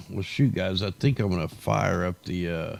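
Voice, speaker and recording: Deep, gravelly, worn-out male livestreamer. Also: measured pace. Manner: rambling, slangy. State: tired, voice worn out. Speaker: livestream host. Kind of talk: casual off-the-cuff weather commentary.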